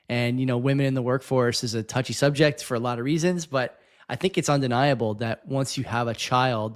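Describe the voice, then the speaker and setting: A man's voice: medium pitch, smooth. A man co-hosting a podcast, giving rambling, opinionated commentary in a conversational style. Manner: rambling, opinionated, frequent "you know". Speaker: podcast co-host.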